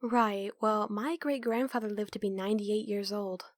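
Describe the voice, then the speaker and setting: A woman playing a sardonic, self-assured young team mastermind in an audio roleplay, with a dry, measured delivery. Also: medium pitch, steady tone, no room sound, lightly acted. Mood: curt, defensive.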